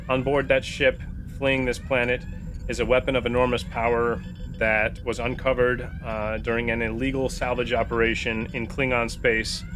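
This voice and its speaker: A man's voice: medium pitch, background noise, lightly acted. A man playing a formal, resolute starship captain in a tabletop game, speaking in official declarations.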